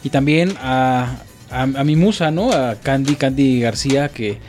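A man, a musician guest, chatting casually on a radio show, halting with many fillers. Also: mid-range voice, faint music behind. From Mexico. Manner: relaxed, halting with frequent fillers.

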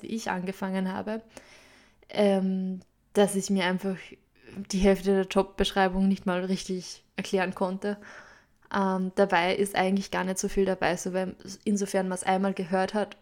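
A woman's voice: mid-range voice, darkish tone. A woman in her twenties with an Upper Austrian accent, hosting a podcast in a chatty, casual way with many fillers and English loanwords. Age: 20s. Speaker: podcast host. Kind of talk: casual solo podcast talk.

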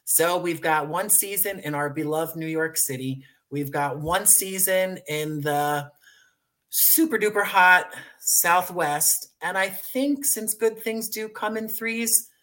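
An American man talks as a guest in a conversational interview. His voice sits fairly high for a man, and his phrasing is effusive, grateful and playful. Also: expressive, takes it slowly, pushed and bright. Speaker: guest, audio drama writer and producer.

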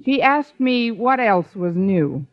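An actress playing a wry, quick-witted schoolteacher in an old-time radio comedy, with dry, sardonic wisecracks. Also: female voice, mid-range voice, lively intonation. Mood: sardonic, worried. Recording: dull vintage radio recording.

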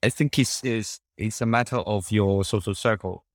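A man's voice: on the low side, steady tone, moderate pace, no echo, studio quality. A young man whose first language is Cantonese, talking in a casual interview, rambling with many fillers and restarts.

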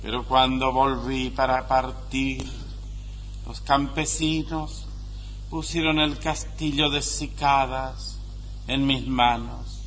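A man reciting poetic prose in a solemn, measured monotone. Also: medium pitch, poor audio. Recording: faint noise and hum.

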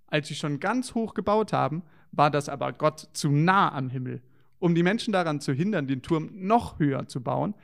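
Verspielter Moderator mit knarziger Stimme. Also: male voice, fairly high pitch, vocal fry. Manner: playful, wondering, child-directed. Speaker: children's show co-host. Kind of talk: scripted children's educational dialogue.

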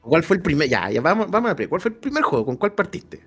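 A man's voice: a little high, sing-song, fast talker, a bit bright, slightly rough. A man co-hosting a podcast, putting questions to a guest in casual chat. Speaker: podcast co-host. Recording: faint music behind. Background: faint music.

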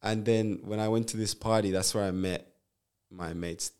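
A young man from Zambia, a nurse and musician, chatting in a relaxed, rambling way as an interview guest, with lots of fillers and restarts. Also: fairly low pitch, moderate pace, smooth. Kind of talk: casual interview chat.

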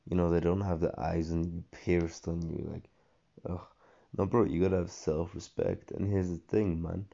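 A young man rambles on in a slangy, meandering monologue, full of fillers and false starts, his voice worn and hoarse. His tone sits deep and flat, with a dark, gravelly edge. The recording sounds dull and lo-fi. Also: moderate pace. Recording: dull lo-fi audio.

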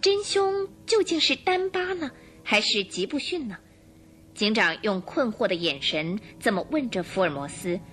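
A woman narrating a radio drama in an expressive, suspenseful storytelling manner. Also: mid-range voice, normal speed, husky.

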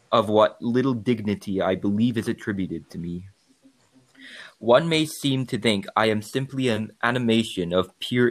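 A man's voice: fairly low pitch, poor audio. A man voicing a bitter, grieving creature in a formal, eloquent dramatic reading of its last testament. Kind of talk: dramatic reading of a written account.

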